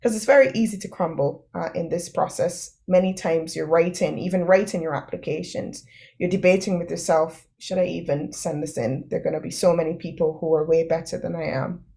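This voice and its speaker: A woman's voice: fairly low pitch, fairly even intonation, fairly quick, gravelly, warm tone. A woman host chatting with her guest, affirming and laughing often, with many filler sounds. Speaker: podcast host. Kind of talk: interview chat.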